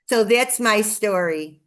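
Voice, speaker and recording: An older woman with a Chicago accent telling her story as an interview guest, effusive and emphatic, repeating phrases for effect. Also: mid-range voice. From Chicago, United States. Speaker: guest, nonprofit TV promoter. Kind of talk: enthusiastic personal storytelling in an interview.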